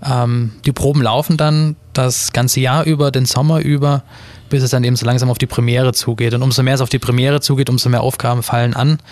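Guy from an amateur theatre explaining stuff calmly, dry close sound. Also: mid-range voice, fairly quick, no echo. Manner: steady, explanatory, occasional fillers. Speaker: amateur theatre member, guest. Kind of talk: radio interview guest.

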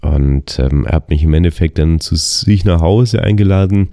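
A man's voice: extremely low pitch, moderate pace, dark tone, no echo, studio quality. A young man recounting his bicycle trip in a casual, rambling way, with many fillers and restarts while he gets over the flu. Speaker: travel vlogger on a bicycle tour. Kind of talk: casual spoken travel diary.

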